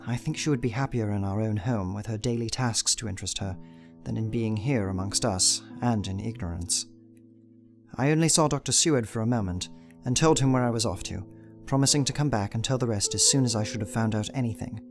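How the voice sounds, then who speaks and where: An actor playing an earnest, determined young Victorian gentleman, narrating a journal in a formal, measured way in an audio drama. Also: lowish voice, faint music behind, close to an everyday voice.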